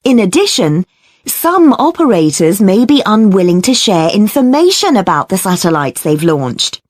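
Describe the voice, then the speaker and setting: A woman playing a lecturer, delivering a scripted academic talk in a measured, articulate, explanatory way. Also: mid-range voice, big pitch swings, darkish tone, dry recording, clean studio sound. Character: female academic lecturer explaining problems and solutions.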